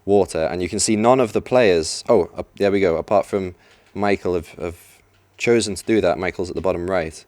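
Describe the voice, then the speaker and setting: A man's voice: sits low, brisk, few pauses, a little mellow, no echo. A man commentating a live racing video game match, chatty and explaining tactics. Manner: chatty, explanatory, some restarts. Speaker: esports commentator. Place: event venue.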